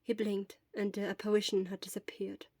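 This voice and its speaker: A woman's voice: mid-range voice, flat delivery, moderate pace, a little mellow, dry recording, clean studio sound. A woman narrating scripted fiction as the reader of an audio story.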